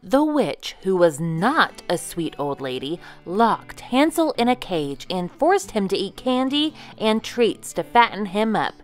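A woman reading a children's picture book aloud as a storyteller in an animated way, with music behind her. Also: mid-range voice, measured pace, few pauses.

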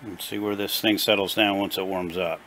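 A man giving a casual, unscripted hands-on repair demonstration in a plain, halting, explanatory way at a workshop bench. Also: low-pitched, level tone, a bit bright, slight background noise. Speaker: presenter of a radio repair video. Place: small indoor workshop at a workbench.

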